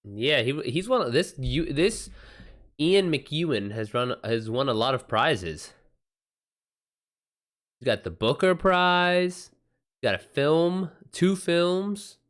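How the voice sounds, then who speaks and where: A man's voice: a little high, takes it slowly, long pauses, a bit bright. An American man hosting a livestream, talking casually with viewers in an informal, slangy way and swearing freely. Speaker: livestream host.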